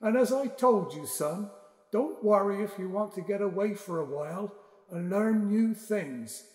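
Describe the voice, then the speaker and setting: An actor playing an affectionate older father reading out his letter of advice in an audio drama, measured and fatherly. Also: high voice, animated, a little mellow. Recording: echoey long reverb.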